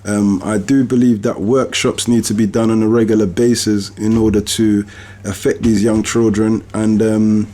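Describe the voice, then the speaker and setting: Low-voiced man, podcast host. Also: level tone, moderate pace, dark tone. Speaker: author hosting a book podcast. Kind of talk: earnest conversational talk.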